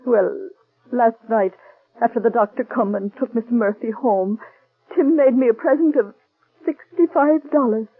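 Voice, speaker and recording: An anxious working-class wife, halting and plain-spoken, with a husky, dry voice. It sounds like a tinny old radio broadcast. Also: female voice, on the high side, no echo. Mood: pleading. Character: worried working-class wife, Mrs. McNulty. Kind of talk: 1940s radio acting.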